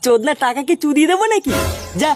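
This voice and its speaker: A man's voice: very high-pitched, lively intonation, fast talker, music in the background. A man voicing a lazy, crude, bossy older buddy in a casual comic cartoon dub.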